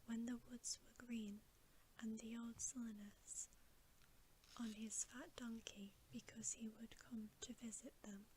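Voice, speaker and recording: A woman's voice: fairly high pitch, flat delivery, a little hiss or hum, poor audio. Whispering woman reading a bedtime story. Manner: soft, unhurried, breathy whispering. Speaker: ASMR storyteller. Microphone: close microphone.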